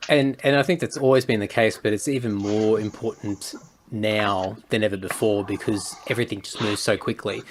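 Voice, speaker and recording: A man in his thirties, a podcast guest, talking in a thoughtful, measured way. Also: on the low side, fairly even intonation, slight background noise. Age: 30s. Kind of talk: casual conversation.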